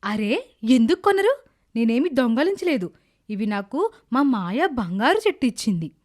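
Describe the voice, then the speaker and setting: Woman playing an earnest high-voiced village girl. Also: very animated, normal speed, a little mellow. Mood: indignant. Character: earnest poor village girl, good-hearted. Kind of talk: dramatised moral storytelling.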